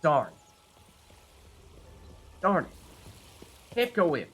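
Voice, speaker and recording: A man's voice: on the high side, sing-song, very slow, a bit bright, breathy edge. A man giving casual live commentary on a video game, chatting and thinking aloud as he plays. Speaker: game streamer, host.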